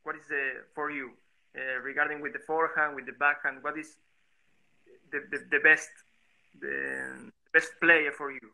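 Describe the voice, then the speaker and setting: A male interviewer with a very bright, sharp, slightly high voice, a native Spanish speaker. He puts questions in halting English in an informal online interview, often searching for the right word. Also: little pitch movement, creaky, poor audio. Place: video call on social media live stream. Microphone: video call.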